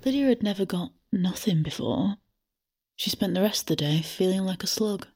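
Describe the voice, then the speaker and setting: A woman narrating a scripted audio drama in a deadpan, storytelling manner. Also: mid-range voice, normal speed, husky. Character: wry female storyteller narrating an audio fiction.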